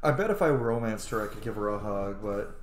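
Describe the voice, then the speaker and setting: A man's voice: on the low side, animated, a little fast, a little hiss or hum. A man giving casual, emotionally invested commentary as he plays a video game. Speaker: gaming streamer. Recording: roomy, game music behind.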